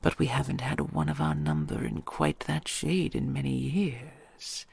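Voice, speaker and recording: An ancient dragon queen played by a woman murmurs in a slow, heavily creaking voice, very breathy and husky. She is imperious yet doting, with a possessive tenderness in a regal, formal manner. It is an intimate audio roleplay performance, close and dry. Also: deep voice, steady tone, no room sound, heavily acted. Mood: adoring. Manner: slow, regal, formal endearments with soft sighs.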